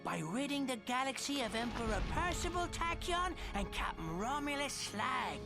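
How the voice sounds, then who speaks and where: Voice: high voice, very animated, normal speed, music in the background. An actor playing a theatrical storyteller narrating a hero's tale in a video game. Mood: dramatic.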